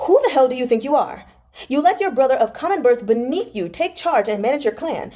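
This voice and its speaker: A woman's voice: sits high, lively intonation, fairly quick, dark tone, pitched above the performer's own voice. A woman reading aloud as a proud, scornful, hot-tempered nobleman in his thirties. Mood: furious. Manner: scornful, sputtering when angered. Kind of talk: dramatic novel read-aloud.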